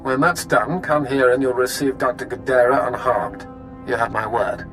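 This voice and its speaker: A man voicing a cautious, businesslike informant with a measured, formal manner in a video game. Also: medium pitch, close to an everyday voice. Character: cautious, businesslike male informant making a bargain. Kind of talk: video game dialogue acting. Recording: music behind the voice.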